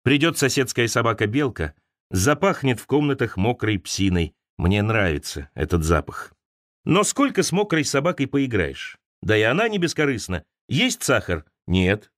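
A man reads a personal essay as an audiobook narrator. His mid-pitched voice moves widely up and down, with dramatic pauses and shifts between wry and wistful. The recording is close and dry, as in a studio. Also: fairly bright tone, no room sound. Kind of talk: expressive literary essay narration.

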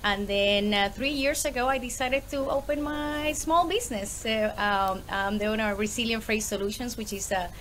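A woman in her thirties from Puerto Rico speaks with a Puerto Rican accent. She sits in a room at home and talks over a video call, animated and often laughing, her voice a little high with faint hiss behind it. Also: moderate pace, hardly any pauses. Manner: lively, laughs often, many fillers. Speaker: guest, small business owner. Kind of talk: casual interview on a video call.

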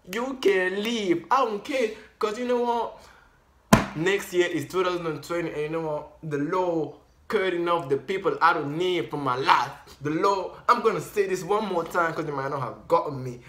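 A brash young boyfriend tells his girlfriend over the phone that he doesn't care, as a young man from Cameroon puts him on. The voice is loud and high, with sharp jumps in pitch. It's recorded in a small room with a roomy echo. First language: Bafang. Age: young adult. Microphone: camera at desk distance.

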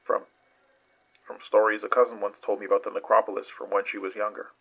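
An actor playing a guarded male investigator in an audio drama, recounting a dangerous night in a measured, halting way. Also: lowish voice, level tone, vocal fry, breathy edge, narrow, tinny audio.

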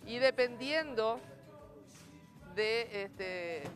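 A middle-aged woman demonstrating a cake on a TV cooking show, calm and clear as she explains each step. Her voice sits a little high, and studio music plays underneath. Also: extremely slow. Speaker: guest cook. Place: TV studio kitchen set.

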